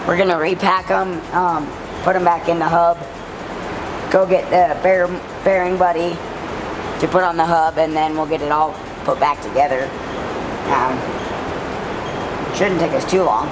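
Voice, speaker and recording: A man with a raspy voice and a cough, casually walking viewers through a boat trailer repair outdoors. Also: on the high side, steady tone, measured pace, pauses often, far from the mic, background noise. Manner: easygoing, addresses viewers directly. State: has a cough. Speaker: outdoor channel co-host. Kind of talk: casual how-to vlog.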